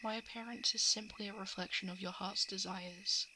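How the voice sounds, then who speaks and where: A woman's voice: mid-range voice, steady tone, normal speed, bright, forceful tone, rough recording. A woman playing a guarded, coolly predatory shapeshifting monster who eats hearts, in an ASMR roleplay monologue. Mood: reluctant, exasperated. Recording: clear background noise of night insects, frogs. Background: crickets, frogs and night insect ambience.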